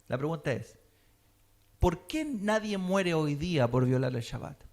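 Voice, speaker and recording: A man's voice: fairly high pitch, lively intonation, a little slow, a little mellow. A man teaching a sermon, often checking in with his listeners. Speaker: teacher of a Messianic congregation.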